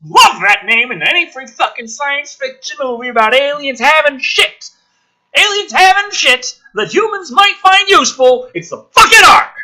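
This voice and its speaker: A man's voice: extremely high pitch, animated, normal speed, bright, forceful tone, rough recording. A man reading a script aloud with theatrical emphasis and incredulous, profane, exasperated commentary, as the host of a review show.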